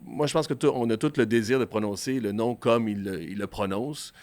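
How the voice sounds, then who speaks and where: A man's voice: lowish voice, a little fast, a little mellow, dry recording. A man with a Quebec French accent hosting a casual interview, hesitant and chatty, laughing easily. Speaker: podcast host.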